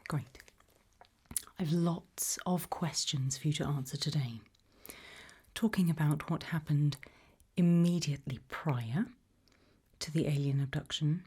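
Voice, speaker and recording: Female, British, low-pitched and breathy. Gentle, whispered ASMR interviewer, calm and reassuring. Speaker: ASMR roleplay host playing an abduction investigator.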